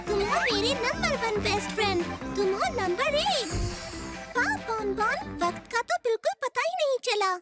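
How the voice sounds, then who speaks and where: A woman voicing a sweet, eager little girl bear cub in a children's cartoon, childlike, expressive and earnest. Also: very high voice, measured pace, exaggerated voice. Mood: sweet, happy. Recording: loud children's music behind voice. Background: loud children's music.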